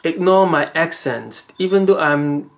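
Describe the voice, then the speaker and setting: A man with a Chinese accent, giving rambling, halting advice to the camera in a casual vlog, with many fillers and restarts. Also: fairly high pitch, lively intonation. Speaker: vlogger.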